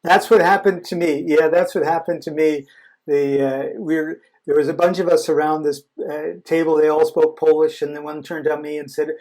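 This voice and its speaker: A man, a guest in a casual conversation, telling a personal story in an animated way. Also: fairly high pitch, normal speed, low-quality recording.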